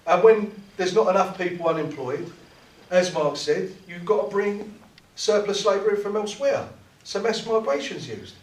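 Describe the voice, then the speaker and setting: A man delivers a fervent political speech, often stumbling and repeating words as he pushes his point. His voice sits a little high for a man. It is captured from a distance in a roomy meeting hall. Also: expressive, normal speed. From South East London. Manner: impassioned, restarts and repeats words. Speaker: trade union activist speaker. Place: meeting room.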